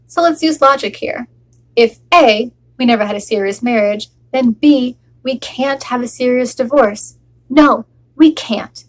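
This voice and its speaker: A woman's voice: on the high side, poor audio. A woman performing a monologue as a wife who refuses to accept her divorce, joking sarcastically while holding back tears. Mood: sardonic. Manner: sarcastic, forced laughter over near tears.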